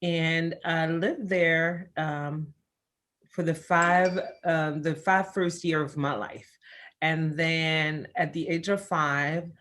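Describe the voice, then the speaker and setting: A woman's voice: deep voice, fairly even intonation, takes it slowly. Chatty middle-aged woman guest. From Congo, raised in Belgium. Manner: warm, chatty, many fillers and restarts. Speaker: interview guest. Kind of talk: casual interview answers.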